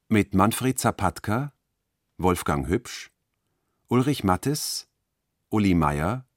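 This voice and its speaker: Low, slow male radio-play announcer. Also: no echo, clean studio sound. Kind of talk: scripted title and cast announcement.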